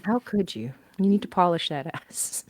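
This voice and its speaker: A woman's voice: fairly low pitch, moderate pace, no echo. Giggly woman chatting on a stream. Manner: laughing, animated, lots of fillers. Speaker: podcast host and guest writer. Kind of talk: casual stream chat.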